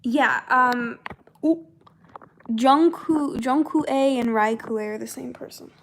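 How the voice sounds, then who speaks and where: A young woman with a fairly high voice talks very slowly in an informal, unscripted chat. She wanders through her thoughts haltingly, repeating words and letting sentences trail off. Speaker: voice actor and comic dub creator chatting with viewers. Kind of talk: casual unscripted discussion.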